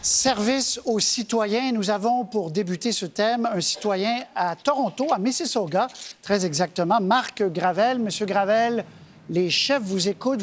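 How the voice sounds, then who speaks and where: A debate moderator introducing topics and guests in a crisp, formal manner. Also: mid-range voice, a little hiss or hum.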